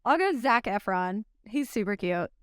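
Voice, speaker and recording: A woman in her thirties from Oklahoma, a pro disc golfer, answering interview questions in an upbeat, chatty way with frequent ums and quick laughs. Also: medium pitch, lively intonation, clean tone, no echo, studio quality.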